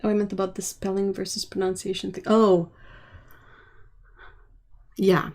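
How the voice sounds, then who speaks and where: A woman hosting a casual livestream, chatting while she paints and answering viewer comments, sometimes dropping to a soft, quiet voice. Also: medium pitch, little pitch movement, normal speed. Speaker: livestream host.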